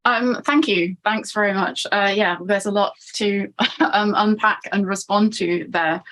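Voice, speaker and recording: A woman's voice: mid-range voice, steady tone, a little slow, a bit bright. Reflective woman researcher answering questions. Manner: reflective, conversational. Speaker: seminar presenter, researcher. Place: online seminar, video call.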